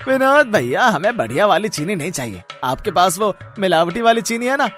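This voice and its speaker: A man's voice: high voice, sing-song, a little fast, fairly bright tone, faint music behind. A man voicing a mocking, boastful, cheating rival sweet seller in a moral-story cartoon, with a taunting manner.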